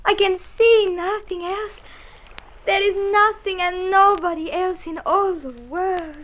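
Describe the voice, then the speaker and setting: An actress playing a swooning, easily enchanted young senorita in a vintage radio drama. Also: female voice, very high-pitched, steady tone, slow, a bit bright, exaggerated voice, rough recording. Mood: entranced.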